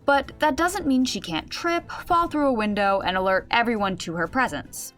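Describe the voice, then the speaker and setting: A woman's voice: on the high side, moderate pace, a bit bright, faint music behind, lightly acted. A woman playing a wry game master who explains and narrates a comedic tabletop game in an audio drama. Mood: wry, explanatory.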